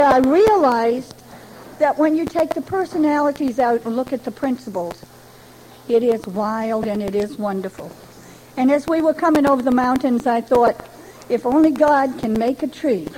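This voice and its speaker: An older woman from Rhode Island tells her personal recovery story to a meeting in a chatty, folksy way with gentle humor. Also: high-pitched, slight background noise, poor audio. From Rhode Island, New England. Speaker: Al-Anon member giving a talk. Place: speaking to a gathering.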